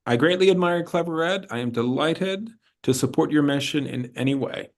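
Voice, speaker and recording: A man's voice: fairly high pitch, normal speed. A man working as an admissions consultant, informally answering student questions in a frank, encouraging way and often repeating himself. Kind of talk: informal advisory Q&A.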